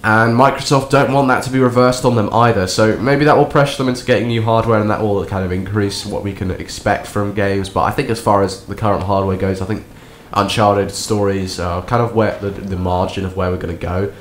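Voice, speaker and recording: Croaky, nasal young man. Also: fairly low pitch, steady tone, a little fast, hardly any pauses, slight background noise. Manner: rambling, sarcastic, many restarts. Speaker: gaming commentator. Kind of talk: casual gameplay commentary. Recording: echoey room with faint game sound.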